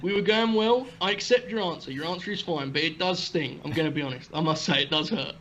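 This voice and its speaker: A man's voice: a little high, quick pace, fairly bright tone, highs cut off, slight background noise. A young man hosting a podcast, chatty and joking with his guest. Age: young adult. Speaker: podcast host. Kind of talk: casual interview chat.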